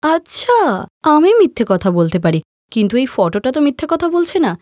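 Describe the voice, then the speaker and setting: A woman acts out a haughty, scheming young sister-in-law from a cartoon family drama. The voice is high and very animated, close and dry. Each phrase is melodramatic, taunting and accusing. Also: fairly quick, no echo. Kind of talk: Bengali cartoon story voice acting.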